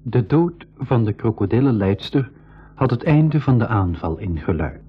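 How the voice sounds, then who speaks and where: A man's voice: on the low side, very animated, moderate pace, soft background music. An actor playing a young brother who tells his adventure in a radio play. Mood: measured storytelling. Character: young brother adventurer, excitable, telling his own story. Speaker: actor and narrator in a school radio drama. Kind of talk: Dutch-language 1980s radio play acting and storytelling. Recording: dull old radio recording.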